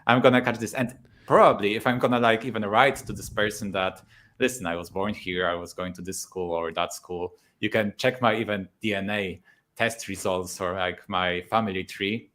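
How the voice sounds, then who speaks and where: Polish man with a low voice. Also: moderate pace. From Poland. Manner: relaxed, laughs often, many fillers. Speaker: YouTuber guest. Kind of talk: casual interview talk.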